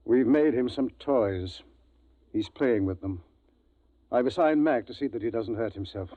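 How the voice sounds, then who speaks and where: Ship's doctor: grave, pondering, expressive pitch, dry and phone-thin vintage radio recording. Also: male voice, no echo, phone-quality sound. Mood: reflective. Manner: measured, pondering. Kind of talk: 1950s radio drama acting.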